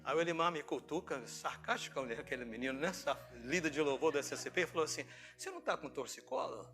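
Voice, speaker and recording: Bright-voiced Brazilian pastor preaching. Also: male voice, on the high side, lively intonation, fast talker, soft background music. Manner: conversational storytelling, acting out dialogue, asking rhetorical questions. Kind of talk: informal sermon with personal anecdotes.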